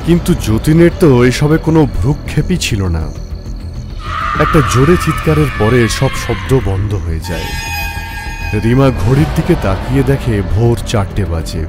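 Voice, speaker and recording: A man's voice: mid-range voice, expressive, normal speed. A man narrating a scripted horror story in a dramatic storytelling style. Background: music.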